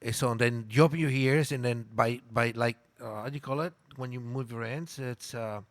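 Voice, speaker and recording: Italian man telling animated stories. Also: mid-range voice, moderate pace, no echo. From Italy. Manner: animated storyteller, repeats words, searches for English words. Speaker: guest. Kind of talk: casual interview chat.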